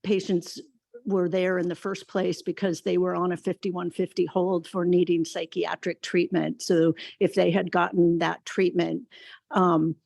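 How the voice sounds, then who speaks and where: A woman health worker giving a measured public comment at a county meeting, pausing often with fillers. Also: lowish voice, vocal fry, no room sound. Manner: measured, steady, with many fillers. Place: meeting room.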